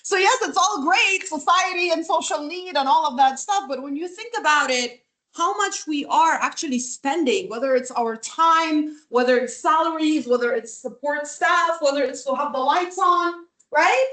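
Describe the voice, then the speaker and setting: A woman's voice: sits high, normal speed, fairly bright tone. A middle-aged woman from Lebanon giving a workshop lecture over slides on a video call, conversational, often checking in with "right?" and filler words. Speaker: visiting academic presenting a workshop.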